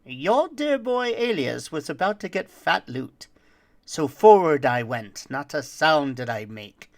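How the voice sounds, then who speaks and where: A performer as a roguish boastful thief, theatrical rhymed storybook tale, swooping pitch, unhurried. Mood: sly, excited. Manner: dramatic, deliberate delivery.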